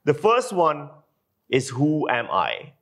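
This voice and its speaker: A man's voice: fairly high pitch, huge pitch swings, takes it slowly, fairly bright tone. A man from Pakistan giving an informal instructional talk as a journalism lecturer, explaining emphatically and posing rhetorical questions.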